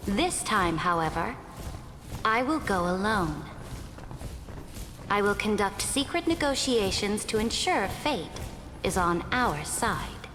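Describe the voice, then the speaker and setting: A woman's voice: medium pitch, expressive. Game role: proud, shrewd female ruler, formal and commanding, reverberant, music underneath. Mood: stern, resolute. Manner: measured, authoritative, formal. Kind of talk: dramatic game dialogue. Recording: reverberant hall, music behind.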